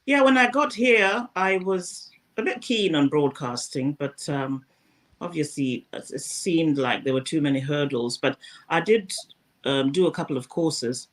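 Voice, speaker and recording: A woman, a former news presenter talking through her career at a thoughtful, measured pace in a casual interview. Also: low voice. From Zimbabwe.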